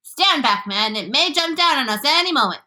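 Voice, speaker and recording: Woman acting out a blustering, shouting police chief. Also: a little high, wildly swooping pitch, a little slow, voice pushed up, heavily acted. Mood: alarmed. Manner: shouting, commanding. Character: blustering, alarmed police chief, grown man.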